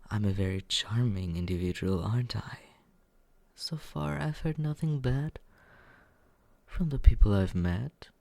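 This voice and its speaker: A young man voicing a smug young man who teases and quietly looks after the listener, in a close, soft, whispered roleplay. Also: on the low side, measured pace, gravelly, dry recording. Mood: teasing. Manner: teasing, condescending, soft whispering. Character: smug teasing young man, mockingly caring. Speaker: ASMR roleplay performer.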